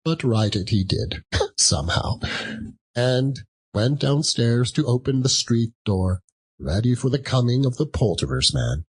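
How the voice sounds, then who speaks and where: A man reads a classic story in a theatrical, dramatic manner. His voice is husky and middle-pitched, with wide swings in intonation. He keeps a measured, slightly slow pace. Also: expressive. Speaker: audiobook narrator.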